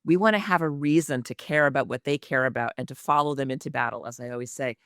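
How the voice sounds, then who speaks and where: A woman's voice: very deep voice, fast talker, a bit bright, dry recording, studio quality. A woman who is an executive communication coach speaks expressively and emphatically in a podcast interview, with the practiced delivery of a trained actress.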